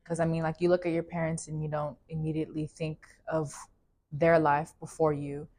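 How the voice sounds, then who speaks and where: Young woman from California, low flat voice, easygoing, lots of "like" and "you know". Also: normal speed. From California, USA. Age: young adult. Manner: relaxed, with many fillers like "like" and "you know". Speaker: interviewee. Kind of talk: casual interview, personal story.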